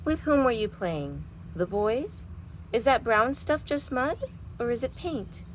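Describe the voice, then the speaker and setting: A woman voicing a caring, practical storybook mother who gives gentle, brisk instructions. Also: on the high side, big pitch swings, voice pushed up. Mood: concerned. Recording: noisy home recording.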